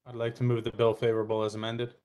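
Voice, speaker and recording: A man chairing a committee moves each nomination on a video call in quick, set phrases. His delivery is flat and brisk. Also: medium pitch, smooth. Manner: flat, quick, formulaic motions. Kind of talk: formal motions.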